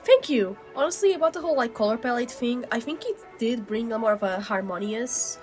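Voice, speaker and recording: A woman's voice: a little high, brisk, a little mellow. A woman with a slightly sick voice giving casual, informal co-host commentary on drawings, chatty and full of "like" asides. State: sick, still under the weather. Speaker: co-host, digital artist. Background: background music.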